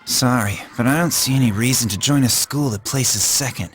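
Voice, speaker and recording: A man's voice: mid-range voice, moderate pace, some chatter behind, a little hiss or hum, close to an everyday voice. An actor playing a blunt, proud young male boxer, curt and guarded, in an anime video game. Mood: curt, dismissive.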